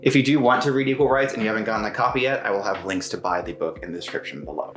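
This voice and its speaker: A man's voice: lowish voice, very fast, music in the background. A man hosting a book review video, giving casual, chatty commentary with wry asides. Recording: roomy, echoing home recording.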